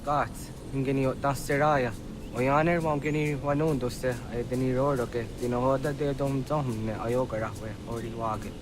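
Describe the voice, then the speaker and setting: A man's voice: mid-range voice, fairly even intonation, normal speed, natural voice, poor audio. A man acting a grave, earnest villager, music behind. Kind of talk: video game cutscene acting.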